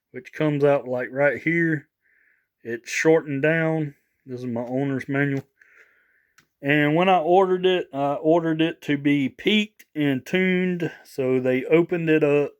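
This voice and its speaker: A man casually showing off gear for a vlog, rambling and halting as he repeats himself. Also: a little high, smooth. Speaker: vlogger showing radio gear. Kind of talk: casual product showcase.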